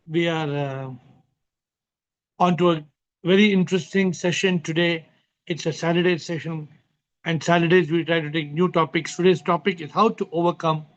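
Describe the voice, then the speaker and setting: A fairly high-voiced man leads a group session over a video call, calling on people by name in an upbeat, chatty way. His voice sounds a little tired, and the call audio is muffled and lo-fi. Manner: lively, greets many participants by name. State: tired voice after long speaking. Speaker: host of online spiritual session.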